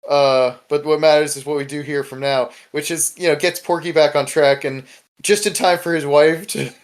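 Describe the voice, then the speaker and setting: A man's voice: on the high side, steady tone, normal speed. Casual young man podcasting, swears freely. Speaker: podcast host. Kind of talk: casual comic discussion.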